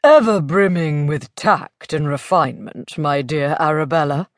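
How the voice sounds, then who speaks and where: A woman voicing a wry, urbane gentleman lord in an audiobook, droll and deliberate in manner. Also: low voice, lively intonation, no echo, clean studio sound, pitched below the performer's own voice. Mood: dry wit.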